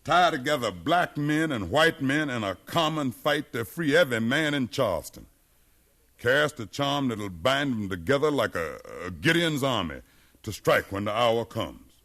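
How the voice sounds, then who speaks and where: An American actor playing a bold, educated freed slave who leads a revolt, with impassioned oratory in 1950s radio drama style. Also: male voice, mid-range voice, animated, moderate pace, a bit bright. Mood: impassioned, persuasive. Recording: remastered 1950s radio broadcast.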